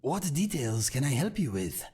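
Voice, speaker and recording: An actor voicing a calm, earnest male cat-folk swordsman in a game, speaking in a measured, formal way with a raspy voice. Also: medium pitch. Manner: measured, formal and warm-hearted. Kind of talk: game character dialogue.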